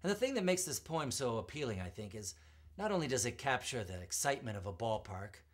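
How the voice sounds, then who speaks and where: A man performing as a poetry reader, with a theatrical, emphatic storytelling delivery and drawn-out words. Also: mid-range voice, very animated. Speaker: performer reading poetry aloud. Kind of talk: dramatic poetry recitation with spoken introduction.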